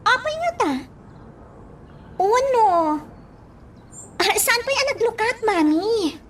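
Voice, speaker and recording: A woman's voice: very high voice, expressive, slow, a little hiss or hum, exaggerated voice. A woman with an Ilocano accent playing a breezy young daughter who soothes her mother, in a girlish, exclamatory radio drama style. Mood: curious. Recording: loud music behind the voice.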